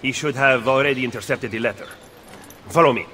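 An actor playing a cunning, aloof Italian political strategist in a video game cutscene. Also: male voice, mid-range voice, brisk, sharp tone, slight background noise, natural voice. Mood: lecturing. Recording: faint game music behind.

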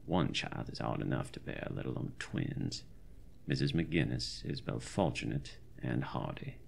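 A man's voice: very deep voice, animated, measured pace, gravelly, darkish tone, a little hiss or hum, exaggerated voice. A man playing a pompous, wry country doctor of 1919, dictating a diary in florid, old-fashioned language for a horror audio drama. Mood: irritated, wry.

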